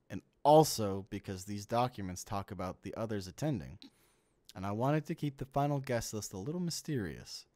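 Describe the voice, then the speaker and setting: A man playing an inhuman host who poses as a trusted friend in a comic dub, speaking in a measured, reassuring way. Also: low-pitched, darkish tone. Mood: friendly, polite.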